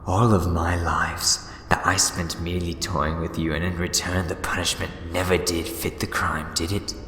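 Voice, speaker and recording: A man's voice: sits low, level tone, normal speed, pushed and bright. A man playing a scheming, mischievous and theatrical villain in a sci-fi fan audio drama, mocking in manner. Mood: bitter, accusing. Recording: echoey reverberant hall, music underneath.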